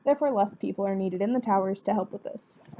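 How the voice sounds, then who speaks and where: A woman's voice: mid-range voice, quick pace, dark tone, a little hiss or hum. A woman gives a prepared informative presentation in an explanatory way, posing questions and answering them. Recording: dull, muffled lo-fi sound.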